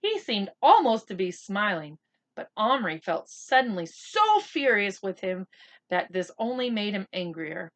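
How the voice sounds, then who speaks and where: A woman reading a children's book aloud as an expressive narrator. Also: mid-range voice, unhurried.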